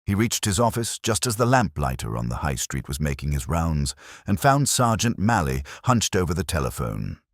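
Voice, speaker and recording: A man giving a measured, literary narration of a period detective story. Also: extremely low pitch, sing-song, dry recording, studio quality. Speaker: audiobook narrator.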